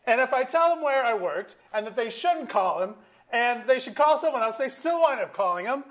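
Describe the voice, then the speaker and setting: A man acting a wry, aggrieved, out-of-work middle-aged lawyer in a staged comedy-drama, halting and self-deprecating. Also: very high voice, expressive, fairly bright tone, poor audio. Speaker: actor in a staged reading. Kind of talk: theatrical dialogue reading. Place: museum auditorium stage.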